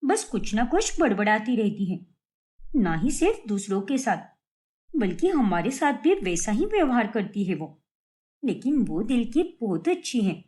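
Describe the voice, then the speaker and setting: A woman's voice: a little high, very animated, measured pace, darkish tone. A woman voicing a sweet, affectionate young married daughter in a cartoon family story. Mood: apologetic.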